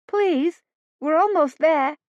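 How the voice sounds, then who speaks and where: A woman's voice: high-pitched, slow, no room sound, voice pushed up. A woman voicing a pushy, scheming young country woman who urges and wheedles. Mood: urging. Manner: urging, wheedling. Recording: dull lo-fi audio.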